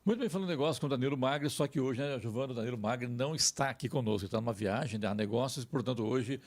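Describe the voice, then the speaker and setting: A man's voice: medium pitch, very fast. A man hosting a radio interview, prompting the guest with chatty questions. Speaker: radio host.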